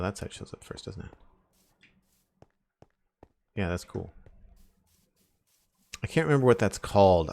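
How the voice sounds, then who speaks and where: Deep gravelly-voiced man streaming casually. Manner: rambling, thinking aloud, counts blocks under his breath. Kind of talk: casual live-stream chat while building. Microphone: desk microphone with headphones.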